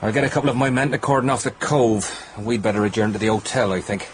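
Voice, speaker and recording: An actor playing a methodical, matter-of-fact police inspector in a radio drama. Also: male voice, on the low side, quick pace, a little hiss or hum, natural voice. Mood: businesslike.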